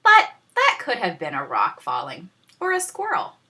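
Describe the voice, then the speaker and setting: A woman teacher narrating a children's storybook in a dramatic, tongue-in-cheek way with pointed pauses. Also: on the low side, wildly swooping pitch, pushed and bright. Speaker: teacher reading aloud.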